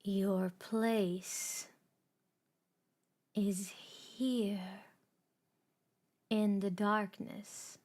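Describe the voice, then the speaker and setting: Star Forger read by a woman, stern cosmic maker, slow and cold, grave warnings. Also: medium pitch, level tone, darkish tone. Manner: measured, cold, unhurried.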